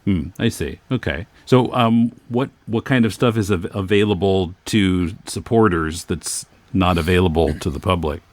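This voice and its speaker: A man's voice: sits low, moderate pace, hardly any pauses, dry recording. A man hosting a casual podcast interview, asking curious questions in a slightly hesitant way.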